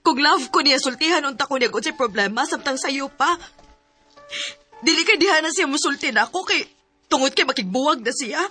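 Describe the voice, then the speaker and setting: A woman's voice: high-pitched, expressive, a little fast, pushed and bright, soft background music. An actress playing a hesitant, heartbroken young woman in a radio drama, with a Cebuano Filipino accent. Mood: distraught. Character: heartbroken young woman telling her love story, pleading, hesitant.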